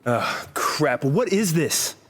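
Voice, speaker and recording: An actor playing a cranky, blunt, foul-mouthed rock guitarist in an audio drama. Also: male voice, fairly high pitch, sing-song, slow, noisy background. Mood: irritated, blunt. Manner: irritable, blunt.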